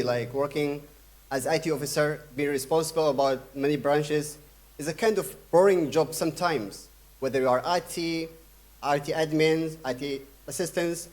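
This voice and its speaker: Young male keynote speaker from Sudan, slightly high voice, hesitant delivery full of fillers. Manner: halting, many fillers and restarts. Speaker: conference speaker. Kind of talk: conference keynote talk.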